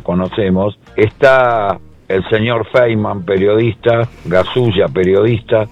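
A man's voice: lowish voice, dull audio. A man calling in to a radio show, indignant about money. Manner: indignant questioning. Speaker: caller.

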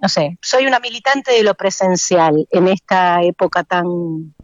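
A woman's voice: lowish voice, measured pace, no room sound, highs cut off. A middle-aged woman with a Buenos Aires accent, speaking as an interview guest, hesitant and full of fillers and restarts. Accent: Buenos Aires Spanish. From Argentina. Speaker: actress and teacher, interview guest. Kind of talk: relaxed conversational interview.

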